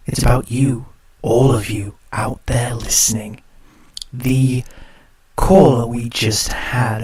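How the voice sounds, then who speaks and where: A man's voice: mid-range voice, darkish tone. An actor playing a sardonic male late-night radio host who teases his callers with knowing jabs. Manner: smooth, teasing, knowing and sardonic. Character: sly, mocking male radio host of the spirit hour. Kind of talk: audio drama acting.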